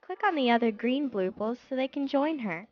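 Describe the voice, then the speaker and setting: A woman narrates a children's learning game from a script, giving simple instructions. Her voice sits on the high side. The sound is close and dry, slightly muffled and lo-fi. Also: expressive, a little fast, no echo.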